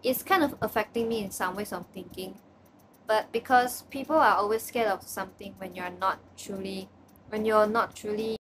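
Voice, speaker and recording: Young woman, Malaysian English accent, casual bedroom webcam vlog, mid pitch, steady noise underneath. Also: moderate pace. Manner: chatty, frequent restarts and repeats. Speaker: student. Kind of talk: casual personal video report. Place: small bedroom, indoors. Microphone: webcam at desk distance.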